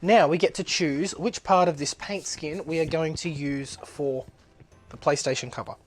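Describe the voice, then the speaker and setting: A man's voice: a little high, fairly even intonation, normal speed, faint music behind. A man with a blocked-up nose, narrating a casual craft tutorial as he works, chatty and easygoing, with plenty of ums and uhs. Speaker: resin artist demonstrating a project.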